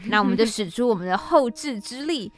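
A woman with a Taiwanese Mandarin accent hosts a podcast casually. Her voice sits on the high side, with background music clearly audible. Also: expressive, a little fast. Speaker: podcast host and scriptwriter.

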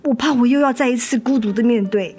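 A woman's voice: a little high, quick pace, dark tone, slightly rough. An actress playing a hurt, anxious mother in a radio drama, with a guarded, sorrowful, emotional manner. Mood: fearful, anguished. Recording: music under the voice.

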